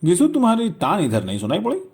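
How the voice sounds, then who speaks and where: Man playing concerned friend, lively questioning pitch. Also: quick pace, husky, heavily acted. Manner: coaxing, questioning. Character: Govindram, friendly concerned male riverside companion.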